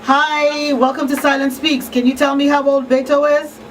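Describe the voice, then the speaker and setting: A woman hosts a live radio talk show in a fairly high voice. She chats with listeners from a small studio. The sound is slightly distant, with a light layer of background noise. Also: flat delivery, moderate pace. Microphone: studio microphone on boom arm. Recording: off-mic room sound, faint noise.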